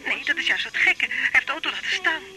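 An actress playing an anxious middle-aged wife on the telephone, nervous, halting and flustered, in a Dutch radio drama from the eighties. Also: female voice, high-pitched, lively intonation, heavy background noise. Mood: flustered, worried.

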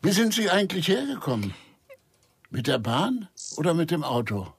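An actor playing a confused elderly father in a radio crime drama, brusque and halting, forgetful and husky. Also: a little high, sing-song, no room sound, full character voice. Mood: muddled, brusque. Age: older. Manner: halting, forgetful, repeating himself.

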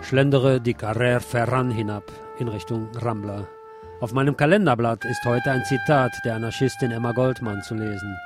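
A man hosting a radio show, reading a scripted literary essay in a deliberate way. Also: mid-range voice. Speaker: radio host. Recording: music behind the voice. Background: music.

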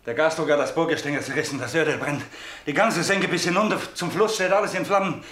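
Male actor agitated worker bringing news, high voice, urgent declamatory delivery, echoey room, radio drama. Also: fast, fairly bright tone, natural voice. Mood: alarmed. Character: agitated messenger reporting disaster to his employer. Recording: echoey room, faint noise.

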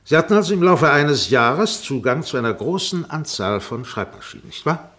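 A man's voice: fairly high pitch, lively intonation, normal speed, a bit bright. An actor playing an insistent, insinuating defence barrister in a German radio courtroom drama, formal and peremptory as he questions. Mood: pressing, peremptory. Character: relentless, insinuating male defence barrister cross-examining a witness.

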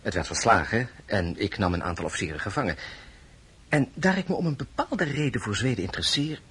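Casting: earnest soldier-prince, low voice with wide swings, affectionate, musing, radio drama, slightly noisy recording. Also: male voice, expressive, a little fast, fairly bright tone, breathy edge, exaggerated voice. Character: Bernadotte, warm soldier-prince, doting husband, earnest and ambitious.

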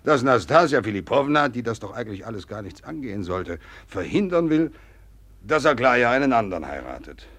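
A man's voice: mid-range voice, big pitch swings, brisk, fairly bright tone. An actor playing a fatherly older general in a 1950s radio play, talking in a confiding, rambling way. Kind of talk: 1950s radio drama acting.

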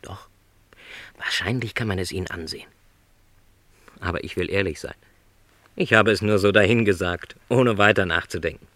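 A man playing a lonely, middle-aged travelling salesman in a radio play, polite, gentle and resigned, reflecting hesitantly. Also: low voice, normal speed, pauses often, no echo. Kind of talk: German radio play dialogue.

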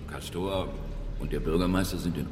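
An actor playing a sullen, halting male village thug with a gravelly voice in a radio crime drama. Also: fairly even intonation, darkish tone, lightly acted. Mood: uneasy. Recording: echoey hall, dripping water, rumble, noise.

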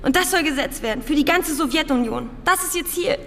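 An actress playing a proud, indignant and frightened young student in a German radio play. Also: female voice, sits high, expressive, fairly quick, a bit bright, long reverb tail, a little hiss or hum. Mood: reluctant, anxious.

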